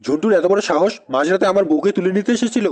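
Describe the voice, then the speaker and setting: A man's voice: sits high, racing. A man voicing outraged, blustering village men in a comedy cartoon, speaking dramatically.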